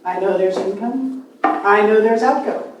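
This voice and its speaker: A woman's voice: medium pitch, unhurried, background noise. A woman giving public comment at a city council hearing, plainspoken and new to speaking there. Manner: plainspoken, says she is new to this. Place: city council chamber, large room. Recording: echoey hall, distant.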